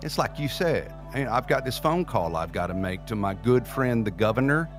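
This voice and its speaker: An actor plays a cocky man who wheedles and dodges in an audio drama. His mid-pitched voice is very animated, with music behind it. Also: normal speed, close to an everyday voice. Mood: cocky, wheedling. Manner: flippant, wheedling. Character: cocky, evasive, smooth-talking man bargaining his way out. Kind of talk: scripted audio drama acting.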